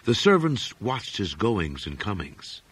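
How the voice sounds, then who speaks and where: A man narrating gothic horror fiction as an audiobook, in a measured, expressive literary reading. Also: lowish voice, breathy edge, dry recording.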